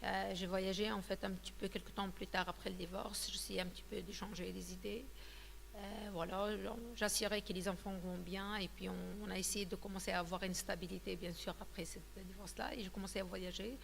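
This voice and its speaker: A woman's voice: medium pitch, little pitch movement, fairly quick, vocal fry, long reverb tail, slight background noise. A woman in her thirties from Tunisia, telling her personal testimony in an interview, hesitant and full of fillers and restarts. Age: 30s. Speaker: guest giving a testimony.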